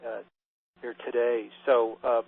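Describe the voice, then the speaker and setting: Flat-voiced man hosting over a phone line. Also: mid-range voice, measured pace. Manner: relaxed, lots of uh fillers. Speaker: radio program host. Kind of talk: hosting a call-in panel. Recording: husky tinny phone line.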